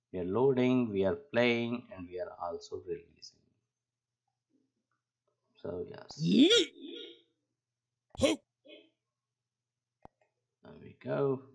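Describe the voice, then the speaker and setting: A man giving an unscripted coding tutorial as an instructor, explaining step by step in a halting way, on a dull lo-fi microphone. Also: fairly low pitch. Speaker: programming instructor.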